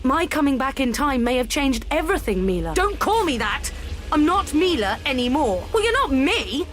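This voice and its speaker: A woman's voice: high voice, big pitch swings, normal speed, soft background music. An actress in an audio drama playing earnest, emotional young female companions who are identical doubles at odds. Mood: agitated, defiant.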